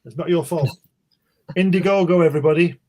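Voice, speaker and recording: A British comic book artist, a man, chatting as a guest on a video call, brisk and jokey. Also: a little high, darkish tone. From Britain. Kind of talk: casual chat.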